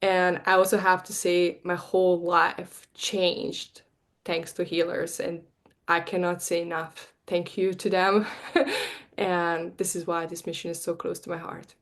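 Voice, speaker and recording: A woman in her forties from Bosnia, speaking as a podcast guest, earnest and heartfelt with a slightly halting delivery. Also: on the low side, little pitch movement. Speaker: guest, marketing coach and energy healer. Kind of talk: podcast interview.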